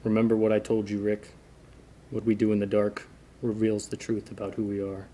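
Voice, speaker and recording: A man's voice: fairly low pitch, completely flat delivery, a little fast, mellow, slight background noise, lightly acted. A man acting a vengeful, condescending masked vigilante in a film script read. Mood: menacing. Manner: condescending, menacing.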